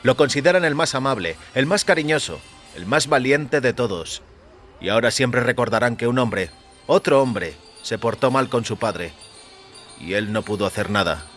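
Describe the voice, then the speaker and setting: A man's voice: mid-range voice, lively intonation, moderate pace, a bit bright, faint music behind, voice pushed up, lightly acted. A man voicing an indignant, articulate man, formal, emphatic and accusing, in an audio story. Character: indignant, articulate dying man, morally outraged avenger. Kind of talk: dramatised short-story dialogue.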